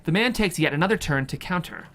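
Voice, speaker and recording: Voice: mid-range voice, expressive, fast talker. A narrator reads screenplay action lines and scene headings as scripted dramatic narration. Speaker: script narrator reading stage directions.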